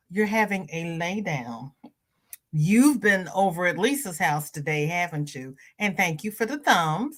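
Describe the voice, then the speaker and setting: A stuffy-nosed woman hosts a casual livestream and chats easily with her viewers. Her voice sits at an ordinary middle pitch for a woman. It jumps up brightly whenever she greets someone by name. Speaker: crochet channel host. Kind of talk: casual livestream chat, reading viewer comments.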